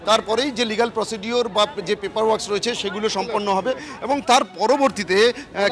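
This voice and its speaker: A man with a West Bengal Bengali accent gives a live field report outdoors, emphatic and running on in long sentences. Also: sits high.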